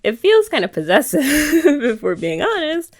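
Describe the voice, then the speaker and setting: A woman's voice: sits high, wildly swooping pitch, normal speed. A young woman doing girlfriend roleplay ASMR, chatty and teasing with rambling asides. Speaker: ASMR creator.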